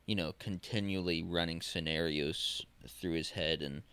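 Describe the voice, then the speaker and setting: A man in his twenties co-hosting a podcast, talking in a casual, halting way, often restarting and searching for words. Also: low-pitched, steady tone, a bit bright, smooth. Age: 20s. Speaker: podcast co-host.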